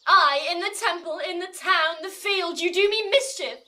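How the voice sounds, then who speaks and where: A woman plays a young lover, wounded and defiant, with a very high, bright voice. She declaims classical stage verse with impassioned stubbornness. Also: moderate pace. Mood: indignant. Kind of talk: classical Shakespearean verse acting.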